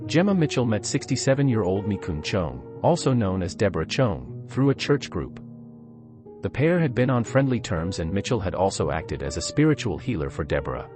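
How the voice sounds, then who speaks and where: A man's voice: lowish voice, very animated, moderate pace, over music. A man reading scripted narration for a true crime documentary.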